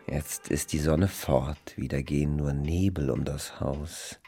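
Radio drama lead: bitter, tormented cripple railing at heaven, slow and declamatory. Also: male voice, a bass voice, steady tone, dark tone, soft background music, full character voice. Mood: brooding, sorrowful. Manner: declamatory, brooding, theatrical. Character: bitter, anguished man on crutches, railing against God. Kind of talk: expressionist German radio drama.

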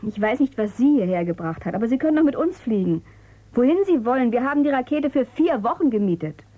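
A woman's voice: on the high side, animated, fast, narrow, tinny audio. An actress playing a defiant, cool-headed girl of eighteen in a radio drama, with a pert, questioning manner. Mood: pleading. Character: defiant, cool-headed eighteen-year-old female space tourist. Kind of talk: German radio drama acting.

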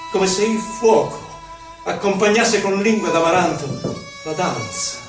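Theatrical poet in echoing space. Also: male voice, sits high. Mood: rapturous. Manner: theatrical, declamatory, measured phrasing. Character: a poet recalling his life and travels, impassioned and solemn. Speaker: actor in a poetic theatre piece for radio. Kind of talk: dramatic poetry recital. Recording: roomy echo, distant mic, violin music. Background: violin music.

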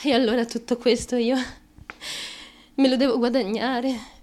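An actress playing a fame-hungry, fragile and determined young woman in an intense confessional stage monologue, with a deliberate, emphatic and halting delivery. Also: on the high side, level tone, darkish tone, lightly acted. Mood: fierce, determined. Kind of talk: intense theatrical monologue, confessional stream of consciousness.

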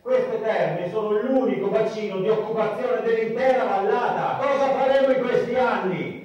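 Actor as an angry worker, high and echoey. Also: male voice, normal speed, a little mellow, muffled recording, natural voice. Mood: angry, desperate. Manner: blunt, repetitive, confrontational. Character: angry working-class family man, blunt, desperate heckler. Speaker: radio play actor. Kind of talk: Italian radio drama acting. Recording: distant, very echoey.

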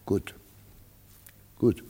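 An actor playing a self-satisfied, greedy scheming merchant in a radio play, with a husky, gravelly, drawling delivery in a reverberant hall. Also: male voice, very slow, warm tone. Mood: smug. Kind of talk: theatrical German radio play acting.

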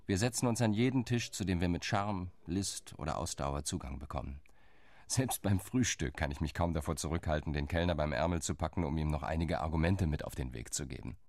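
Man voicing an earnest envoy in a radio play, low voice, dry close sound. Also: a little fast, darkish tone, no echo. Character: eager male envoy lobbying diplomats.

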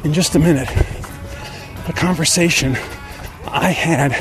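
A man's voice: on the high side, measured pace, over music. A middle-aged man hosting a podcast, talking in a conversational, personal way. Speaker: podcast host. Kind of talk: conversational podcast monologue and interviewing.

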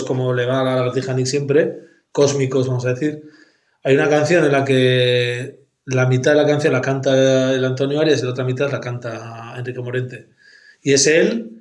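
A man's voice: mid-range voice, darkish tone, roomy sound. A man from in casual chat about music, talking in long rambling arguments with slangy fillers.

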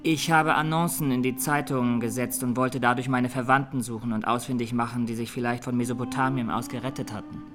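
An actor playing a young Armenian defendant, plain-spoken and haunted, giving measured testimony in a radio courtroom play. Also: male voice, medium pitch, expressive, over music, close to an everyday voice. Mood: factual.

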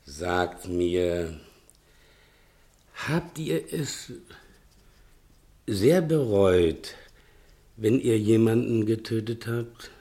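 An actor playing a fat, self-pitying servant who fancies himself a playwright, melodramatic and wheedling, in a 1960s German radio play. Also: male voice, fairly low pitch, expressive, drawn-out words, long pauses, exaggerated voice. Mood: brooding, self-pitying. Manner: melodramatic, wheedling, swinging from hushed to declaiming.

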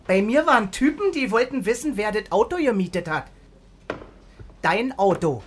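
An actor playing a brash, streetwise car rental owner with a Berlin accent, gruff, slangy and taunting in a radio crime drama. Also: medium pitch, lively intonation, moderate pace, a little hiss or hum, natural voice.

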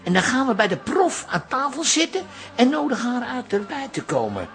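A man's voice: extremely high pitch, huge pitch swings, brisk, vocal fry, fairly bright tone, full character voice. An actor playing a bossy, scheming and self-assured male bar regular in a radio drama. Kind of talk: modern radio drama acting.

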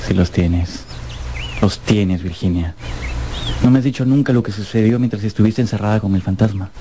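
A man playing a romantic young duke in a radio drama. His voice is low and warm, tender and coaxing, with birdsong clearly heard behind him. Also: moderate pace, a little hiss or hum, natural voice. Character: young adoring duke, tender newlywed husband.

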